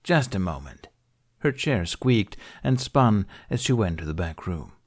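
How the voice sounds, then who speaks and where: A man's voice: lowish voice, lively intonation, a little slow. A man narrating scripted fiction as an audiobook reader.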